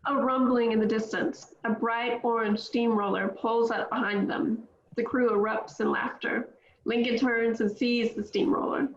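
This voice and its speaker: A woman reads screenplay directions at a table read, her voice a little high. The room is echoey and the sound is dull and lo-fi. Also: fairly even intonation, normal speed. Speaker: script reader.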